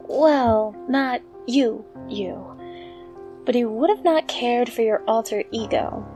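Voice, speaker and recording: Woman acting wry fond lady, slightly high voice, takes her time, radio play, music behind. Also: takes it slowly, dark tone. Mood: wry, tender. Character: wry, affectionate woman, a policeman's daughter. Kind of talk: audio drama acting.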